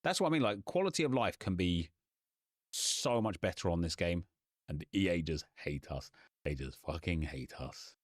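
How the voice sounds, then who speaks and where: Brief: young male gaming streamer, a bit hoarse, low voice, rants casually, dry close mic. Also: lively intonation, no echo. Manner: chatty, ranting, quick asides. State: slightly hoarse.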